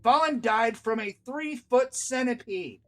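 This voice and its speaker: A man's voice: very high-pitched, measured pace, a bit bright, soft background music, voice pushed up. A man voicing a brusque, exasperated female guild leader in a story, curt and commanding.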